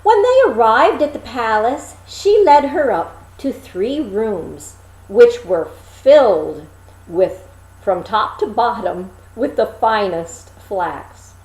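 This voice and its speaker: A woman reading a fairy tale aloud as expressive storybook narration, with dramatic, deliberate phrasing. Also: a little high, echoey room, poor audio.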